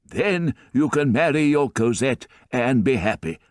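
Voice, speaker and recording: A doting old grandfather, slow and fond, his voice raised well above a low baritone, as a male narrator puts him on. He leans on his words with tender emphasis, drawn out and lively in pitch. The read is dry and close. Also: no room sound, natural voice. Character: doting elderly grandfather, tearful and warm.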